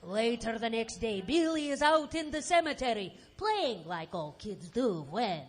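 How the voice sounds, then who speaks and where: High-pitched woman narrating a comic drama. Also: big pitch swings, unhurried. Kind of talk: comic scripted narration, live audio theatre. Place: stage, live audio theatre performance.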